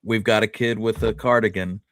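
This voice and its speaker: A man's voice: lowish voice, fairly even intonation, a little fast, dry recording. A man co-hosting a casual history discussion, talking conversationally to his partner and viewers. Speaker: podcast co-host.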